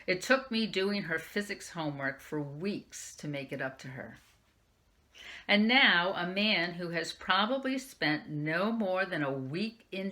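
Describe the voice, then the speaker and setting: A woman's voice: low voice, sharp tone. A woman librarian reading a young adult novel aloud in the first person, lifting her voice for the quoted lines. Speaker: youth services librarian.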